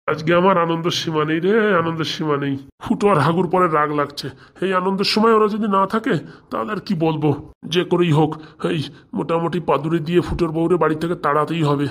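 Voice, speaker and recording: A woman voicing a bashful, excited middle-aged boss and father-to-be in a comic cartoon, doting and chatty. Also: sits low, a little fast. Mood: giddy, plotting.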